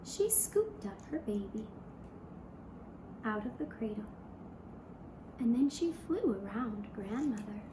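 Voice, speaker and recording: A woman narrating a fairy tale for a puppet show in a gentle, hushed, deliberate storytelling manner. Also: on the high side, expressive, echoey room, background noise. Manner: slow, hushed, deliberate storytelling in short phrases.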